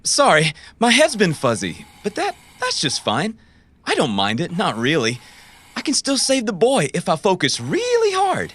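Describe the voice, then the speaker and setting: A man's voice: high voice, wildly swooping pitch, moderate pace, a bit bright, exaggerated voice. An actor playing a dazed, fragile mercenary father in an audio drama, deludedly happy, foggy and fervent. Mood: dazed elation.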